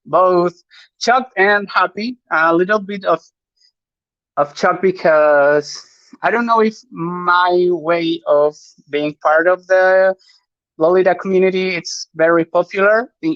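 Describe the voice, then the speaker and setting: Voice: mid-range voice, fairly bright tone, no room sound, muffled recording. A speaker from Chile with a Chilean Spanish accent, a native Spanish speaker, giving a live online panel talk with many fillers, restarts and nervous laughs.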